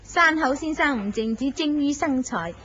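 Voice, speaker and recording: A woman's voice: high-pitched, expressive, moderate pace, fairly bright tone. A woman voicing a teasing, gossipy office coworker in a radio drama. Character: cautious female office coworker. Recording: muffled lo-fi recording with hiss.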